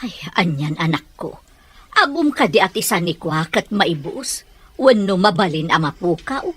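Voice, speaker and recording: A middle-aged woman playing a wise, caring mother in an audio drama, talking in a gently persuasive way. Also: lowish voice, very animated, normal speed, breathy edge, no echo, full character voice. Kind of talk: Ilocano radio-style audio drama.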